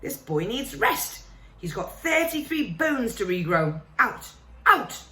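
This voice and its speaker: Infirmière sévère et autoritaire, lue par une femme, voix haute, pièce sonore. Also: female voice, fairly high pitch, expressive, a bit bright, echoey room, voice pushed up. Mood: scolding. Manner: brisk and scolding. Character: stern, fussy older woman nurse.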